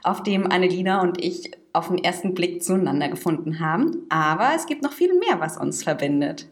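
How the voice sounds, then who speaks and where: A woman's voice: on the low side, expressive, moderate pace. A woman of twenty-eight co-hosting a podcast in a casual style, speaking in an articulate, reflective way. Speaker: podcast co-host. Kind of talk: casual chat.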